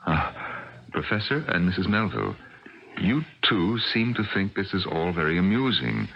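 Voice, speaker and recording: A man's voice: deep voice, big pitch swings, moderate pace, creaky, a little hiss or hum, heavily acted. An actor playing a genial, skeptical middle-aged scientist and father in a vintage radio drama. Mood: brisk, concerned. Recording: dull vintage AM radio recording.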